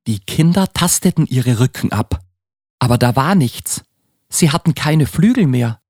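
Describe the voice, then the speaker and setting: A male narrator reads a children's Christmas story with a lively, widely moving melody. His voice has a husky grain. The recording is dry and close, with very little room sound. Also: mid-range voice, a little slow, no echo.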